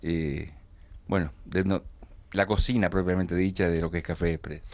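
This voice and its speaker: A man's voice: deep voice, fairly even intonation, moderate pace, a little mellow, no echo. A man interviewing a guest in a small studio, hesitant and full of fillers and restarts. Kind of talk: conversational TV interview.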